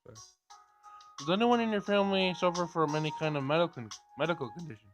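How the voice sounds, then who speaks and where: A man's voice: fairly high pitch, expressive, quick pace, soft background music. A man giving casual gameplay commentary and reading game dialogue aloud, with blunt, sarcastic asides.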